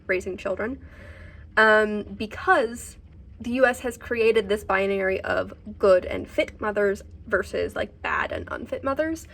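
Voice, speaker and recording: Halting, slow-paced young-sounding woman vlogger. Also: mid-range voice, vocal fry, fairly bright tone. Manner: halting, thinking aloud. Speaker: book reviewer and vlogger. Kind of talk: casual vlog chat about books.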